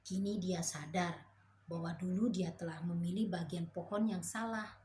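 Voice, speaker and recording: A woman narrating a children's fairy tale in a slow, clear storybook manner. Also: fairly low pitch, steady tone, dark tone, a little hiss or hum.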